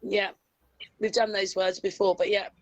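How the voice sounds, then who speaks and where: Female co-host, middle-aged, average pitch, informal conversational delivery, dry close sound. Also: flat delivery, moderate pace, dry recording, highs cut off. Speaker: podcast co-host. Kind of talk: casual podcast chat. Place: indoors on an online group call.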